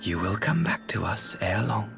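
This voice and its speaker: An actor playing a smooth, scheming young ambassador in a video game, mock-courteous and theatrical. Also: male voice, fairly low pitch, very animated, moderate pace, over music. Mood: smug, taunting. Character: smooth, scheming young imperial ambassador, cruelly mocking brother. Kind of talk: video game cutscene acting.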